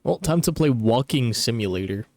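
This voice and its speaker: A man's voice: medium pitch, expressive, moderate pace, darkish tone, no room sound. A young man casually chatting while streaming a game, offhand, slangy and profane. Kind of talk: casual streaming chat, gameplay commentary. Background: game music and dialogue.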